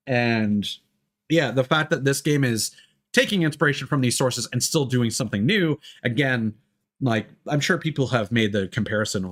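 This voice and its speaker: A man giving casual, rambling, enthusiastic video game commentary as a livestreamer, swearing freely. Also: mid-range voice. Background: game music.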